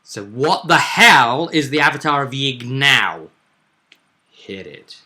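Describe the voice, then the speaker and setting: A man co-hosting as game master, casually recapping a tabletop session with drawn-out, dramatic stresses. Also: mid-range voice, expressive, pushed and bright.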